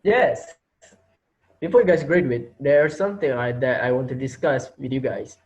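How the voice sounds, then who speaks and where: A young man playing a male art teacher who calmly takes his class hostage, in a student reader's theater play. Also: mid-range voice, moderate pace, lightly acted. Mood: calm, menacing. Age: young adult. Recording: dull lo-fi home recording.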